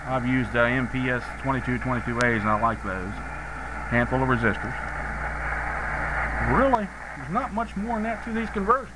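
Loud noise runs all through this amateur camera recording of a man at a radio bench. He has a medium-pitched voice and talks in a folksy, matter-of-fact way, like a hobbyist explaining his technical work informally. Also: expressive, normal speed. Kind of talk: informal hands-on technical walkthrough. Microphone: handheld camera's built-in microphone.

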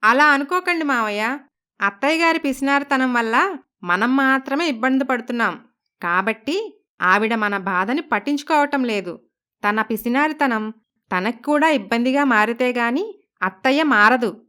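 A young daughter-in-law in a cartoon family tale, played by a woman. The voice is high, smooth and clear. She speaks respectfully and coaxingly, with a quietly crafty, persuasive way of putting things. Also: lively intonation, normal speed. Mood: scheming, reassuring. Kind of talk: Telugu animated moral story voice acting.

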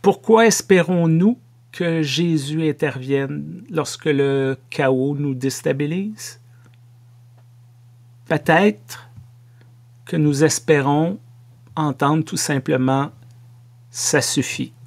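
A middle-aged man with a Québécois French accent giving a sermon to camera from a home office, slow and measured with playful asides. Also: a little high, creaky, darkish tone. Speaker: pastor.

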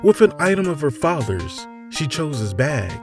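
A man narrating a scripted fantasy story in a measured, deliberate, theatrical storytelling manner. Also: on the high side, big pitch swings. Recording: music behind the voice. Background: background music.

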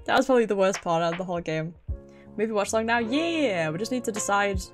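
Female British livestreamer, expressive, sweary and informal, mid-range voice with faint music behind. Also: mid-range voice, fast. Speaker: streamer. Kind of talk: casual livestream chat. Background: background music.

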